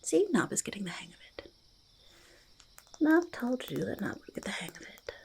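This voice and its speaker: A woman voicing a small, curious, gentle goblin in an ASMR roleplay, whispered and soft-spoken, breathy and creaky, often repeating little phrases. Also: fairly high pitch, sing-song, moderate pace. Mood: curious, proud. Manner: refers to self in third person, repeats phrases softly. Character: small curious goblin, gentle, eager, easily delighted hoarder.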